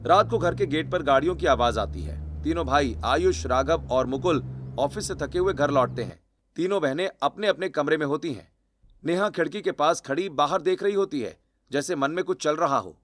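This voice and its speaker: A man's voice: on the high side, normal speed, fairly bright tone. A man narrating a scripted cartoon family story.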